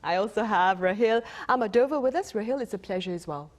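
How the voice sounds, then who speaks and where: A woman's voice: mid-range voice, expressive, quick pace. A woman hosting a broadcast TV interview in a studio, speaking in polished, formal phrases. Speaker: TV interview host.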